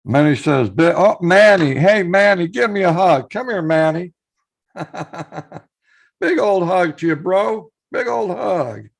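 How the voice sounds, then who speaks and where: A hoarse man chats on his live stream. His gravelly voice rises and falls a lot, and he greets his viewers by name as he reads out their messages. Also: sits high, lively intonation, slow.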